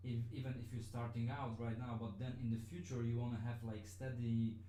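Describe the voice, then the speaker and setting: A man's voice: mid-range voice, level tone, fairly quick, warm tone, echoey room, a little hiss or hum, poor audio. A man whose first language is Polish, teaching a live-streamed lesson in a hesitant way, with many fillers and restarts. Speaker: online business trainer.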